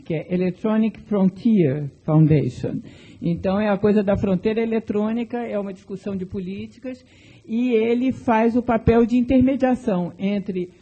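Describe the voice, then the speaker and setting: A man's voice: sits high, dark tone, slightly rough, highs cut off. A man introducing a speaker at a debate event, talking off the cuff with frequent restarts and repeated words. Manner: hesitant, many restarts. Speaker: event host. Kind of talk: spontaneous introduction.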